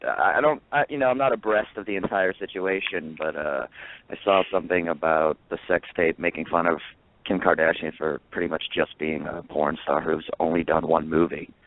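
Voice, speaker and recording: A man's voice: low-pitched, moderate pace, no echo. A man, a comedian guest, chatting in a rambling, self-mocking way with lots of fillers. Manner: rambling, self-mocking jokes with many likes. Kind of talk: casual podcast chat. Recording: dry tinny phone-like line.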